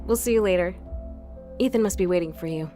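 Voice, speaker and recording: Composed, lowish-voiced female inspector played by a woman. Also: fast talker, music in the background, close to an everyday voice. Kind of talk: audio crime drama acting.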